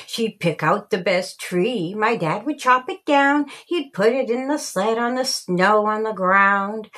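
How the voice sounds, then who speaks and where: A woman narrating a rhyming children's story in a deliberate, singsong storytelling manner. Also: medium pitch, a bit bright. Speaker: author reading own story.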